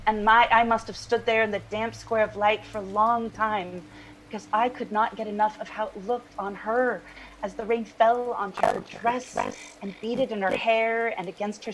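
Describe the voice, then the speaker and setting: An actress playing a haunted, enraptured woman recounting a dream in a halting, wistful monologue. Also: fairly high pitch, a little hiss or hum, lightly acted, rough recording. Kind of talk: dramatic interview-style monologue.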